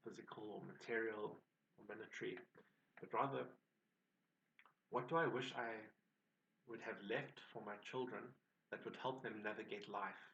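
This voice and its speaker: A South African man with a fairly low, gravelly voice talks in a measured, reflective way as he introduces his channel. He sits a little back from the mic. A faint hum of room ambience runs underneath. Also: bright, forceful tone, far from the mic, highs cut off. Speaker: channel host. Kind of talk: personal introduction to camera.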